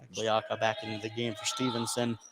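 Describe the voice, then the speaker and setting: A man's voice: fairly low pitch, completely flat delivery, brisk. A man giving color analysis during a live basketball radio broadcast. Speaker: color commentator. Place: indoor basketball gym, live game. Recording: noisy live gym broadcast.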